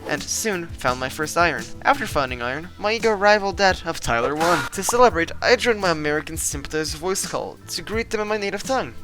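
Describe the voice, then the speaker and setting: A young man narrating his gaming footage in a deadpan, mock-epic style, swearing casually. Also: a little high, brisk, hardly any pauses, over music, low-quality recording. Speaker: gaming vlogger. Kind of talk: comedic scripted narration over gameplay.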